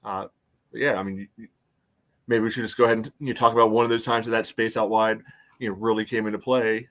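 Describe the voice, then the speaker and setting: Male American podcast co-host, slightly deep voice, conversational and halting, talking through soccer tactics casually. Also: level tone, fairly bright tone.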